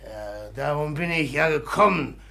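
An actor playing a drunken, boastful merchant in love, impulsive and loud, in a 1950s German radio drama. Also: male voice, a little high, lively intonation, gravelly, exaggerated voice. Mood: taunting, reckless. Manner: shouting, laughing, impulsive, drawn-out emphatic phrases.

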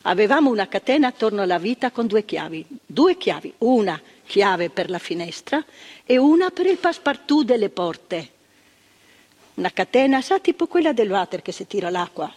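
A husky former asylum nurse. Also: female voice, medium pitch, sing-song, normal speed, darkish tone. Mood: sober. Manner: plainspoken, repeats phrases for emphasis. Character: former woman asylum nurse, ashamed, blunt, recounting. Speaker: stage actress in a monologue. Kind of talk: theatrical monologue of remembered testimony. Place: theatre stage. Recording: long stage reverb, radio broadcast.

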